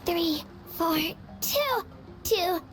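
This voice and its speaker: Very high-voiced, earnest young girl. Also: lively intonation, drawn-out words, heavily acted. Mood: eager, earnest. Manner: earnest, upbeat. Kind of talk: anime video game voice acting.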